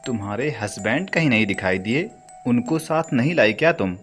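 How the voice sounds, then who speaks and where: A man's voice: medium pitch, animated, moderate pace, a bit bright, soft background music, lightly acted. A man playing a hesitant, heartbroken former lover in an audio story, speaking earnestly. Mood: concerned, sorry. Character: Vishal, lovelorn, hesitant divorced man nursing old heartbreak. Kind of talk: acted audio story dialogue.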